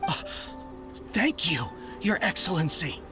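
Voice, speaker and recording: A man's voice: on the high side, big pitch swings, a little slow, over music. A man playing a zealous young soldier in a video game, earnest, emotional and formally deferential to his commander. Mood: grateful, eager.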